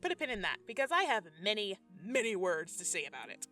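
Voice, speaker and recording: Female commentator, sassy and conversational, bright forceful voice, lively intonation. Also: mid-range voice, fast. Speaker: video essay narrator. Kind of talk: scripted commentary with slangy asides. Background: background music.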